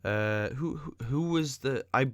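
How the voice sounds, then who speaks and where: A man with a cold and a blocked, nasal voice, chatting casually while commenting on a game he is playing. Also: mid-range voice. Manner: nitpicking, chatty, quick asides. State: stuffy nose from a cold. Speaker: gaming video host.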